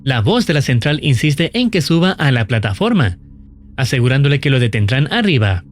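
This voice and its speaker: A man's voice: mid-range voice, very animated, normal speed. A man narrating a scripted video recap in an explanatory storytelling style. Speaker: narrator of a horror video channel.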